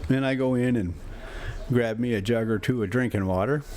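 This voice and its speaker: A man's voice: medium pitch, a little fast, darkish tone. A man with a folksy manner, hosting a read-aloud story time in a casual, homespun way. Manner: folksy, rambling, chuckling self-commentary. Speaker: storyteller and host reading a classic novel.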